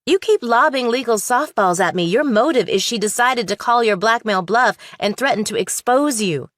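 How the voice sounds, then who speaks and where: A woman's voice: fairly high pitch, expressive, moderate pace, fairly bright tone, dry recording. A woman voicing a skeptical young law student in an audiobook, clipped, probing and lawyerly. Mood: probing, accusing. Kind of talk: audiobook character dialogue.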